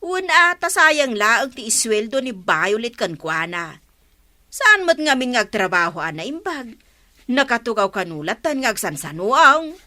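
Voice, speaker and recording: An actress with an Ilocano Filipino accent playing an impatient, bossy, sharp-tongued village woman in a radio comedy. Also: a little high, very animated, unhurried, no echo, studio quality, exaggerated voice. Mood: indignant. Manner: nagging, exasperated. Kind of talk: comedy radio drama acting.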